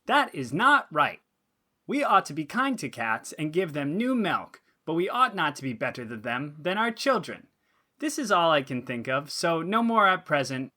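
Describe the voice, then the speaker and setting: An actor playing an earnest, naive schoolboy who reads his composition aloud in a deliberate, declaiming way for an audio drama. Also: fairly high pitch, big pitch swings, sharp tone. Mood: earnest, proud. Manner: declaiming, deliberate, with childish grammar. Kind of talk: audio drama letter reading.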